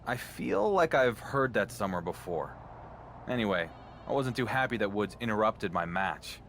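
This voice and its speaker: A man's voice: on the low side, very animated, moderate pace, fairly bright tone, in a large hall, slight background noise. An actor playing a defensive, sarcastic wrestling champion in a video game, a man with a wry, casual way of talking. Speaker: wrestling champion and show co-host. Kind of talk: scripted video game cutscene acting.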